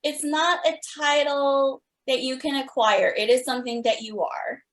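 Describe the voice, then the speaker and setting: High-voiced woman chatting as podcast host. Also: moderate pace, fairly bright tone. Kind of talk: casual interview chat.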